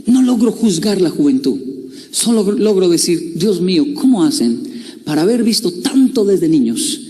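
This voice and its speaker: A middle-aged man tells a long personal story, playful and confiding, and now and then acts out the voices of others. His voice sits a little high for a man. The sound carries a long reverb, as if he is speaking in a large hall. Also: sing-song, moderate pace, mellow. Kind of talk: storytelling talk.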